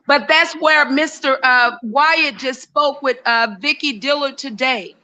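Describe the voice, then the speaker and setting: High, bright-voiced woman co-hosting talk. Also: unhurried. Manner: emphatic, many fillers and restarts. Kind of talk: casual opinion talk.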